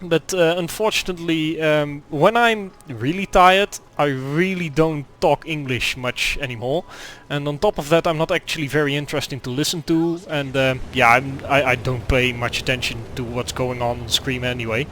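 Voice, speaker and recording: A young Dutch man talks through a tank game replay in English, stopping often for ums and uhs and restarting his sentences. His voice sits a little high for a man, and he speaks with a Dutch accent. A faint hiss of noise runs under the recording. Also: moderate pace, hardly any pauses, a bit bright. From Netherlands. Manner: hesitant, many fillers and restarts. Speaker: gaming commentator. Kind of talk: gameplay commentary.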